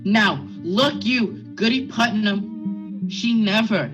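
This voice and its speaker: A man's voice: sits high, animated, slow pace. A man acting an anxious, stern Puritan minister and uncle in a radio play, with formal, urgent, old-fashioned speech. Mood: pleading, alarmed. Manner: formal, archaic, urgent questioning. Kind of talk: period stage drama acting, radio play. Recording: dull lo-fi sound with music behind.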